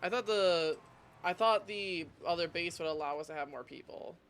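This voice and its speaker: Higher-voiced man streaming a game, gunfire behind. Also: normal speed, background noise. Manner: thinking aloud in short remarks. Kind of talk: casual live gameplay commentary.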